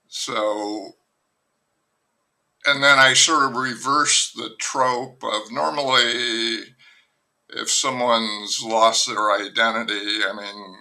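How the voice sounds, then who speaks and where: An older man, a novelist being interviewed, talking in a slow, musing, self-deprecating way with many pauses and restarts. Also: fairly low pitch, pushed and bright, low-quality recording. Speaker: novelist guest. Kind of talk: reflective conversational interview.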